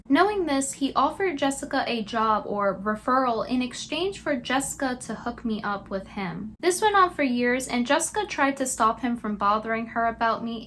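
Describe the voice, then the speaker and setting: A woman's voice: on the high side, fairly bright tone, a little hiss or hum. A woman delivering scripted commentary as a YouTube commentator.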